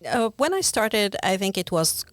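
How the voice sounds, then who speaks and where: A woman from Tunisia, a pathologist, answering podcast questions in a measured, reflective way. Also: medium pitch, big pitch swings, no echo. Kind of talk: thoughtful conversation.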